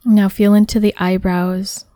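A woman guiding a body scan meditation in a soft, soothing, unhurried way, with breath at the ends of phrases. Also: mid-range voice, level tone, no room sound. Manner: soothing, unhurried, gently instructing. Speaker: meditation guide.

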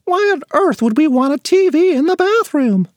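A man's voice: very high voice, animated, moderate pace, no echo, clean studio sound, pitched above the performer's own voice. A man reading a children's story, voicing a strict, scolding mother in a raised voice. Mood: stern. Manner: scolding, emphatic. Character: bossy mother, middle-aged woman, strict.

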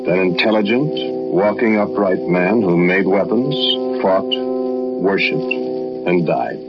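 An actor playing a haunted man who narrates an eerie tale in a 1940s radio drama, with slow, dramatic, deliberate delivery. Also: sits low, highs cut off. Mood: grave, ominous. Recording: band-limited 1940s radio recording, music behind.

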